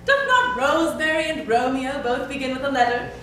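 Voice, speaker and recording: In a very echoey room, a woman acts out a bright, high-pitched old nurse from classical theatre. She rambles fondly, teases and complains with theatrical exclamations, some way from the microphone. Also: very animated, brisk, exaggerated voice. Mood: chatty, teasing. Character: chatty teasing old female nurse, complaining and fond. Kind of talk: classical stage acting of Shakespeare. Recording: echoey room, distant mic, faint noise.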